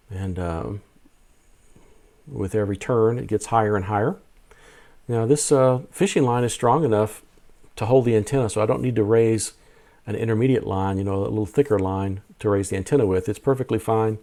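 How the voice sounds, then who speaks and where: A man's voice: on the low side, vocal fry, dark tone. A man narrating a casual hobby vlog, explaining things step by step in a plain-spoken way. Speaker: amateur radio video host.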